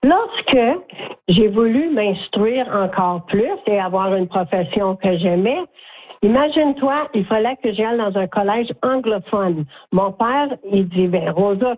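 Chatty Franco-Ontarian woman on a phone line. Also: mid-range voice. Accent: Franco-Ontarian French. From Northern Ontario, Canada. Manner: chatty, emphatic, peppers speech with "OK?". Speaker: guest, caregiver group facilitator. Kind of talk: phone interview on radio.

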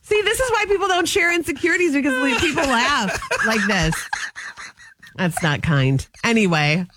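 A woman's voice: sits high, normal speed, very noisy. A young woman co-hosting a morning radio show, chatting casually and telling stories with a laugh. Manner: animated, laughing storyteller. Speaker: radio co-host.

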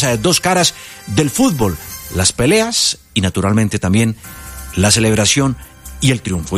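A man presenting a midday news show on the radio, with a Colombian Spanish accent. He speaks at a middling pitch, in a lively, personal tone broken by small fillers. Faint music plays behind him in the studio. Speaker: news anchor. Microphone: studio microphone.

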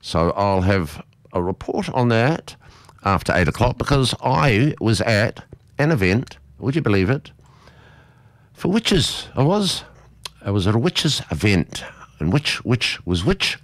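Aussie bloke doing talk radio, big voice, really up and down, chatty n playful, dry studio sound. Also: male voice, on the low side, very animated, a little slow, dry recording. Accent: Australian. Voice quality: big, strong voice. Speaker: radio presenter.

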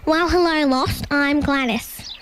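A woman's voice: sits high, steady tone, slow pace, noisy background, voice pushed up. A woman voicing a bustling, decisive female emu with a folksy, emphatic manner in a children's story. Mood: surprised, friendly.

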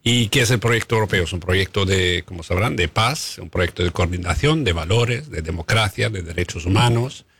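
Deep gravelly-voiced diplomat. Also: male voice, fairly bright tone, no echo. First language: Italian. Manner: measured, diplomatic, with frequent hesitations. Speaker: guest, diplomat. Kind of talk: radio interview answers. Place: radio studio. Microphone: studio microphone.

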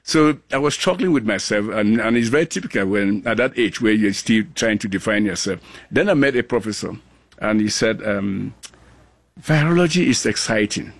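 A man's voice: fairly low pitch, expressive, dry recording. A man in his sixties from Cameroon, a global health scientist, speaking earnestly in an interview with many restarts. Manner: earnest, halting with frequent restarts. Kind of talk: conversational interview.

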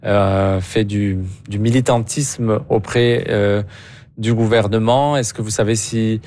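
Radio interviewer, male, lower voice, long framing questions. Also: fairly even intonation, slow, darkish tone.

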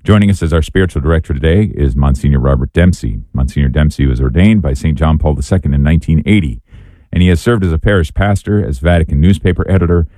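Hoarse, very deep-voiced man hosting. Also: fast talker, no echo. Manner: calm, earnest, reflective. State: hoarse, raspy after neck surgery. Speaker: host. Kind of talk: radio talk show hosting and personal storytelling.

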